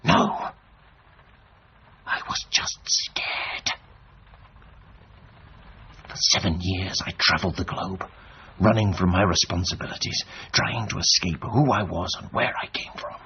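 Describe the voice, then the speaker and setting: An actor in a radio drama playing a weary, disillusioned middle-aged former adventurer, bitter at himself, halting and brooding. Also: male voice, low voice, bright, forceful tone. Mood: anguished. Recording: dull, slightly noisy radio recording.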